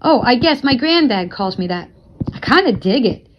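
A woman's voice: on the high side, sing-song, moderate pace, dull audio. Woman reading as an eager, casual young boy. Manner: casual, slangy. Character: eager, joking young boy who loves basketball.

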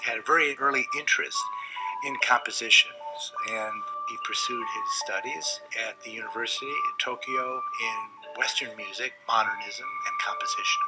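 A man in his sixties giving a documentary interview as an art expert, speaking in a measured, explanatory way. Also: mid-range voice, rough recording. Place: cluttered indoor studio. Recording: loud music under the interview.

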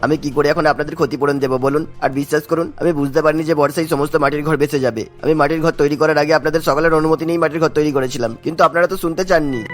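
Man playing an earnest, fast-talking village husband. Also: fairly high pitch, fairly even intonation, lightly acted. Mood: pleading. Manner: earnest, explanatory. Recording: music behind the voice.

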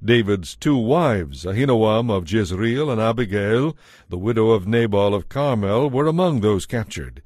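A man narrating a dramatized Bible as scripted storytelling, in a solemn, measured manner. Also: fairly low pitch, animated, darkish tone, no echo, studio quality.

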